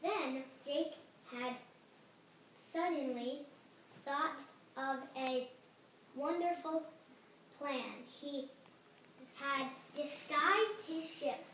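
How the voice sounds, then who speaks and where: A child reading a storybook aloud haltingly, stumbling over words and correcting them. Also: sits high, pushed and bright. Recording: roomy, distant home recording, faint noise.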